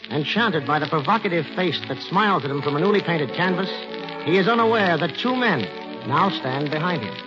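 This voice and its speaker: An actor as a solemn storyteller, giving scripted narration for a 1940s radio drama. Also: male voice, fairly high pitch, animated, fairly quick, close to an everyday voice. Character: solemn storyteller setting a radio mystery scene. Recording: dull old radio recording, music behind.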